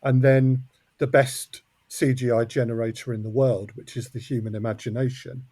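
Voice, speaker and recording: A man's voice: mid-range voice, little pitch movement, moderate pace, mellow, no room sound. Man, close dry sound. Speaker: guest, audio drama co-creator. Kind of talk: casual interview chat. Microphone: close mic, wearing headphones.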